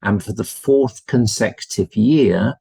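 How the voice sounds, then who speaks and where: A man's voice: fairly low pitch, unhurried, vocal fry, dark tone, slightly rough, no room sound. A man with a British English accent, a university representative giving a polite, enthusiastic webinar presentation over a video call. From United Kingdom.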